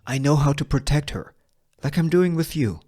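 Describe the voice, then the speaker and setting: A man's voice: a little high, animated, moderate pace, a little mellow, voice pushed up. A man voicing a tough, cool-headed, scheming woman gunslinger in a Western script, sardonic and commanding. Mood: insistent.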